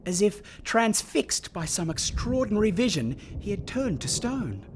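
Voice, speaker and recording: An actor playing a sensitive young orphan who narrates a gothic radio mystery in a hushed, intense, literary way, with a breathy voice. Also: male voice, high voice, sing-song, measured pace, a little hiss or hum. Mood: fearful, hushed. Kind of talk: dramatic first-person radio play narration.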